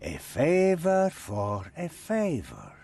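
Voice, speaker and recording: A man's voice: on the high side, huge pitch swings, slow, vocal fry, darkish tone, no room sound, heavily acted. A man voicing a cold, threatening crime boss and smuggler in video game cutscene dialogue. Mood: smug. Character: menacing male crime boss, smuggler and cutthroat.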